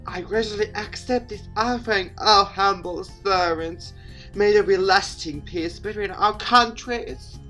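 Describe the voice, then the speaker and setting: Man putting on a high, haughty teenage girl. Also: measured pace, pushed and bright, faint music behind. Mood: mock regal. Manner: haughty, exclaiming. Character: bossy, teasing teenage girl, mock-haughty childhood friend.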